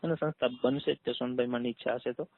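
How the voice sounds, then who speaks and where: Fast-talking Gujarati man on a tinny phone line. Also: mid-range voice, steady tone, a little hiss or hum. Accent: Gujarati, Saurashtra. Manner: insistent, explains step by step with rhetorical questions. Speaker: community trust trustee. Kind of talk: explanatory phone conversation. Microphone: telephone. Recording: tinny, narrow phone call recording.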